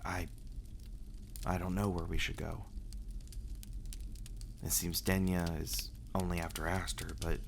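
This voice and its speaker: A low-voiced, gravelly vampire acted by a man speaks in an intimate roleplay drama style. He is brooding and earnest, confiding in halting phrases with dramatic pauses. There is faint noise behind the voice. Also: level tone. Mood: brooding, weary. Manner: halting, hesitant delivery with dramatic pauses and sighs. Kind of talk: ASMR roleplay audio drama acting.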